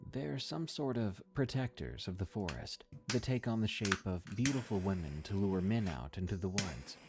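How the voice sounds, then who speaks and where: A man reading a first-person horror story in a measured, somber scripted narration. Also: on the low side, darkish tone, slightly rough.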